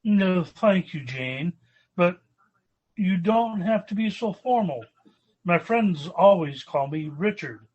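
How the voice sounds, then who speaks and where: A man's voice: sits high, animated, a little mellow, breathy edge, muffled recording, heavily acted. A man playing a polite, formal upper-class dinner guest in an old-time radio sitcom style. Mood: courteous. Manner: courteous, formal, unhurried.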